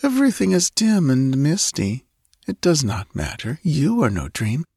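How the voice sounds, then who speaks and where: A man voicing a languid, dreamy, confused young woman in a soft, drowsy murmur for an audiobook story. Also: a little high, wildly swooping pitch, husky, no echo, studio quality, voice pushed up, full character voice. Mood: sleepy, dreamy. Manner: drowsy, languid, drawn-out yawning delivery. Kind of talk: audiobook character acting.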